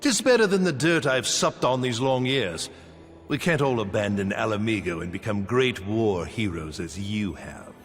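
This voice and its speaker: A man's voice: on the low side, expressive, moderate pace, natural voice. A man playing a bitter, treacherous soldier in a video game cutscene, speaking with scornful, theatrical taunts. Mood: bitter taunting. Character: bitter, treacherous soldier turned on his old friend. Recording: reverberant hall, music behind.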